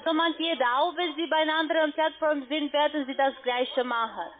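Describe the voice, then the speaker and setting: High-voiced woman on a tinny phone line. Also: fairly even intonation. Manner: halting, insistent, step-by-step instructing. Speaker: call centre agent for an online trading platform. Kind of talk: scripted-sounding phone sales instruction. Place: office, on a phone call. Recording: muffled narrow phone line.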